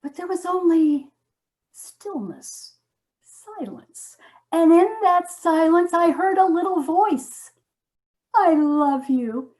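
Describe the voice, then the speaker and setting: A high female voice of an actress swings widely as a yoga student marveling at her own freedom. She is dramatic and wonder-struck, leaving emphatic pauses, like a comic stage monologue. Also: darkish tone, heavily acted. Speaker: amateur stage actor.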